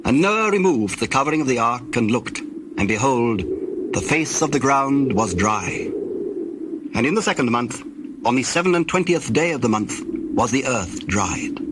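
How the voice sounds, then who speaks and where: A man with a mid-pitched voice narrates scripture as drama. His delivery is formal and measured, like a storyteller reading aloud, and loud ambience with cooing birds runs behind him. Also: lively intonation, heavy background noise.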